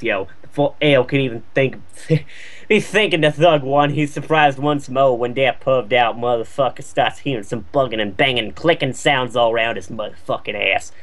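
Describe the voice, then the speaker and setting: A man's voice: mid-range voice, a bit bright. A man reading fan fiction aloud for comedy, slangy and sweary, with casual jokey asides.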